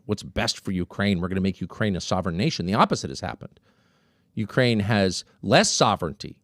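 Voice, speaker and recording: Lively, sarcastic male commentator. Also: on the low side, no echo, clean studio sound. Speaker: host. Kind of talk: political commentary monologue.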